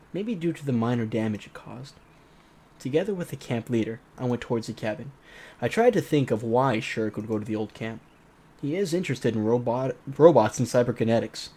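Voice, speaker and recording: A man's voice: medium pitch, mellow, slightly rough. A man hosting a gaming show, reading a visual novel aloud and tossing in playful, joking commentary.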